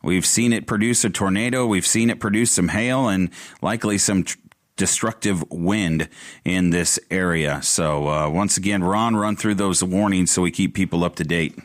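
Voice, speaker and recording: A man hosting live radio storm coverage, ad-libbing in a halting, urgent way. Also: sits low. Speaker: radio host covering severe weather.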